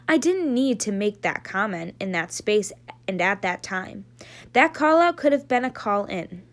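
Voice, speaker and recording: A young woman reading a nonfiction book aloud in an earnest, steady voice, then explaining a class activity in a bubbly way. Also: medium pitch. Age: young adult. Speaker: student reader.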